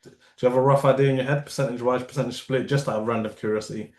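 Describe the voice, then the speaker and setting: A man's voice: medium pitch, steady tone, very fast, darkish tone. Easygoing male podcast host, echoey room. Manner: loose, rambling questions with fillers. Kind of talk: casual interview hosting.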